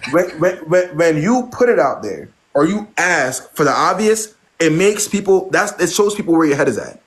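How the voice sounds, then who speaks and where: A man's voice: a little high, big pitch swings, normal speed, rough recording. Blunt, profane man lecturing his viewers. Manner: blunt, repetitive, preachy and profane. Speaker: live stream host giving dating advice. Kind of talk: casual live stream advice.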